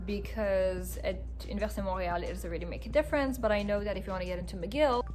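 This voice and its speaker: Young woman, native French speaker, mid-pitched voice, earnest casual vlog talk, light music in background. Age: 20s. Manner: earnest, frequent ums and restarts. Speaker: lawyer answering viewer questions. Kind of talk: casual to-camera Q&A.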